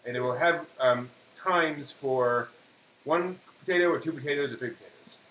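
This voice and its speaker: Roomy, distant, halting male live-stream host. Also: medium pitch, a bit bright. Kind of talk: casual live cooking demonstration. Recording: roomy, far mic, clear background hum.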